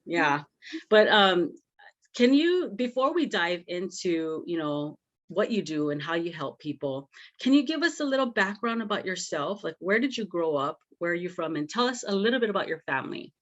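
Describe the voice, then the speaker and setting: A woman's voice: lowish voice, very animated, moderate pace. Effusive woman podcast host, lo-fi audio. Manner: warm, effusive, many "you know" fillers. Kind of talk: casual podcast interview.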